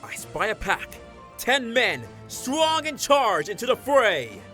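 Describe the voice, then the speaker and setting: A man's voice: extremely high pitch, big pitch swings, takes it slowly, sharp tone, faint music behind, exaggerated voice. A man playing a theatrical swordsman who tells of battle bombastically, in game-character style. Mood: dramatic relish. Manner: bombastic storytelling.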